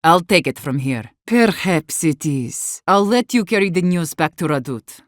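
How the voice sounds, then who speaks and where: A woman's voice: lowish voice, expressive, measured pace, no echo, clean studio sound. An actress voicing an embittered, defiant woman warden leader in a video game, weary but fierce, speaking in a blunt, earnest way. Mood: stunned, then resolved. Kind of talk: dramatic game dialogue.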